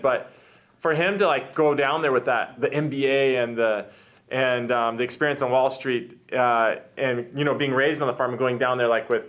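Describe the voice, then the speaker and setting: A man's voice: mid-range voice, a bit bright. A man hosting a casual conversational interview, talking in an enthusiastic, rambling way. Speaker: host, interviewer.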